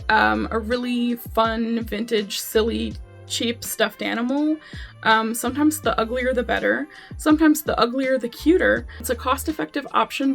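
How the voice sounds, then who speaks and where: A woman's voice: on the high side, vocal fry. A young woman chatting casually in an upbeat vlog, full of ums and likes. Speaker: vlogger. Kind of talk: casual gift-guide vlog.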